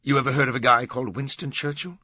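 A man's voice: mid-range voice, steady tone, fast talker, pushed and bright, slightly rough, no echo, muffled recording, pitched above the performer's own voice. A man voicing a smug, insistent male tabloid reporter in an audiobook. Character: cocky, eager male tabloid news reporter.

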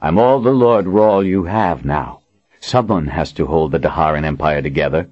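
A man's voice: rumbling low, lively intonation, normal speed. A man voicing a cruel, arrogant usurping lord who speaks in a cold, commanding, menacing way. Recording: dull lo-fi audiobook recording.

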